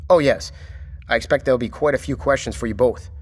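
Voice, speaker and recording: A man's voice: medium pitch, quick pace, breathy edge, close to an everyday voice. A man acting in an audio drama as a stern, authoritarian government chief, commanding, clipped and condescending. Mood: probing. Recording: reverberant hall with background noise.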